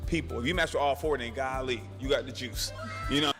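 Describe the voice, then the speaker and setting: A man hosts a dating show, laying out the rules in loose, hyped-up slang. His voice sits at an everyday pitch and rings with a long, roomy reverb. Music plays faintly underneath. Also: brisk, a bit bright. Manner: casual, hyped-up slang delivery.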